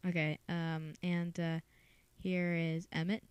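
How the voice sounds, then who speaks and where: A woman's voice: fairly low pitch, level tone, slow, clean tone, dry recording. A woman talking casually and hesitantly, keeping her voice down, as she introduces an amateur voice audition. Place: bedroom.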